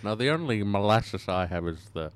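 A man's voice: on the low side, animated, normal speed, clean tone. A man co-hosting a comedy podcast, delivering absurd jokes completely straight-faced. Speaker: podcast co-host.

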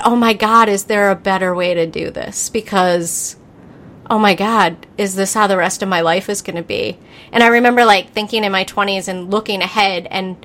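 A woman's voice: mid-range voice, a bit bright. A woman in her thirties hosts a podcast, telling stories about herself in a loose, rambling way. She fills her talk with "like" and swears freely, laughing at her own mishaps. Her voice sits in an ordinary middle register. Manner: swearing, rambling, self-mocking, many fillers. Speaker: podcast host. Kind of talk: candid conversational storytelling.

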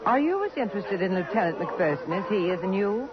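Actress as animated, worldly society woman, old radio. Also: medium pitch, brisk, natural voice. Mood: arch, candid. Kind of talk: 1950s radio drama acting. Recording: dull lo-fi radio, party crowd behind.

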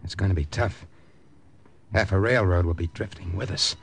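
An actor playing a terse, commanding American secret agent in an old radio drama. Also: male voice, low-pitched, normal speed. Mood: terse, grim. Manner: clipped, commanding. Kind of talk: 1950s radio drama acting. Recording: noisy vintage radio drama recording.

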